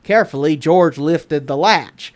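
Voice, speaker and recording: A woman reading a children's picture book aloud as an expressive bedtime story, drawing out words for emphasis. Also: sits low, unhurried. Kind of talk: animated read-aloud bedtime story narration.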